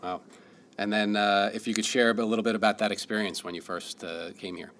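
A man's voice: lowish voice, flat delivery, a little fast, fairly bright tone. A man hosting a radio interview, reading a prepared intro and then asking casual questions. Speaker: host, interviewer.

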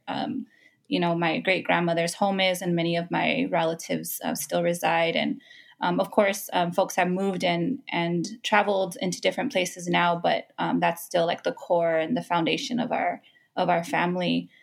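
An American woman from Los Angeles, an interview guest telling personal stories in a reflective, appreciative way with hesitant pauses. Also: fairly low pitch, creaky. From Los Angeles, United States. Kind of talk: personal storytelling in casual talk.

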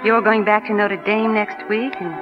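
An actress playing a gentle, devoted young sweetheart in 1940s radio style, wistful and patient, on a vintage broadcast recording. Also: female voice, medium pitch, flat delivery, normal speed, natural voice. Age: young adult. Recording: dull vintage radio broadcast, music behind.

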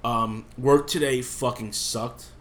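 A man's voice: medium pitch, fairly even intonation, slow pace, a bit bright. A man with a cough, sick, co-hosting a podcast and rambling casually with frequent swearing. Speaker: podcast co-host. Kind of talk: casual chat.

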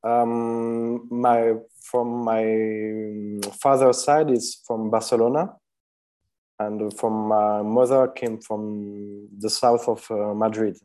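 Man, mellow tone, hesitant with fillers, interview guest. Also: lowish voice, flat delivery. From Switzerland. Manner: hesitant, many "uh" and "um" pauses, soft laughs. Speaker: independent watchmaker guest. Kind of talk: relaxed interview answers.

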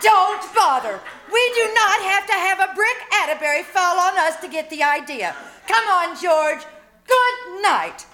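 A woman playing a sharp-tongued, scheming housewife in old-time radio comedy, with sarcastic, emphatic delivery in a live performance. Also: very high voice, sing-song, unhurried, exaggerated voice. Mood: indignant, scheming. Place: live stage performance at a winery. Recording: live roomy recording, faint noise.

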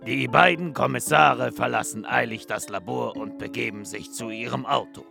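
A man's voice: mid-range voice, moderate pace, creaky, over music. A man playing a pompous, grumpy narrator of a comic detective audio drama, speaking theatrically. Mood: solemn. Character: pompous, grumpy male storyteller of a detective serial.

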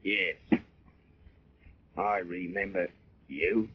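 An actor playing a dazed, weak, dying man with a faint husky voice in radio drama. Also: deep voice, drawn-out words, exaggerated voice. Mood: dazed, fading. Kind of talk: 1940s radio acting. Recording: muffled old radio recording.

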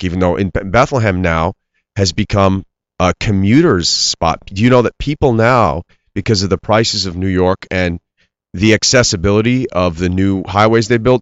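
A man's voice: deep voice, clean tone, no echo, highs cut off. A middle-aged man from Iran, raised in Pennsylvania, talking earnestly in an interview with many restarts. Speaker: actor guest. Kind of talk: interview conversation.

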